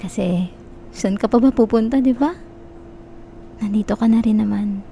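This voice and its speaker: Woman acting a soft, mellow possessive Filipino stalker girl. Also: mid-range voice, normal speed, gravelly, slight background noise, natural voice. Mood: coaxing, smug. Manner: soft, coaxing, teasing, quietly menacing. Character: possessive, manipulative young female stalker, obsessively in love. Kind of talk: Tagalog-style ASMR roleplay, intimate close address.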